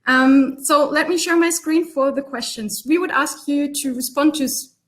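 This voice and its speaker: A woman's voice: high-pitched, moderate pace. A woman from Germany, leading an online workshop session over a video call in a chatty, upbeat way with many ums. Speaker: workshop facilitator.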